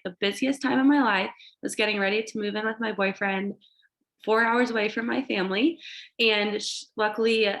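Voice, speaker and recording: A woman in her twenties with a stuffy nose from allergies, talking excitedly and rambling a little as she speaks to her sales team on a video call. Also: medium pitch. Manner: excited, rambling, addresses "you guys" often. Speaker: direct sales team leader. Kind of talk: motivational video call talk.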